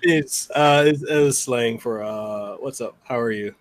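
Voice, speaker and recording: A man hosting a podcast, a native Samoan speaker from outside the U.S., easygoing and quick to laugh. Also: mid-range voice. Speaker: podcast host. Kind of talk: casual interview hosting.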